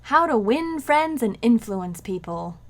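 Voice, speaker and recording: A woman's voice: on the high side, big pitch swings, unhurried. An actress playing a playful, scatterbrained young housewife who teases her husband, in an old-time radio comedy. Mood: teasing. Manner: playful teasing, coy innocent asides.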